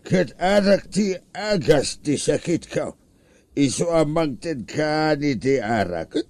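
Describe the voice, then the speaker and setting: A man's voice: on the high side, expressive, a little mellow, no echo. A man with an Ilocano accent playing a lazy, whining, hard-drinking husband who feigns illness in a comedy audio drama. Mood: pleading. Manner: drawn-out complaining, theatrical moaning.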